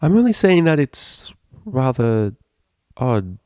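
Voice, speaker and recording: A man's voice: medium pitch, very animated, takes it slowly, mellow, clean tone, dry recording, clean studio sound, pitched above the performer's own voice. A man voicing a refined female pony cellist for a story, prim, polite and coyly teasing. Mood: drolly critical. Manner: prim, proper wording, coyly innocent.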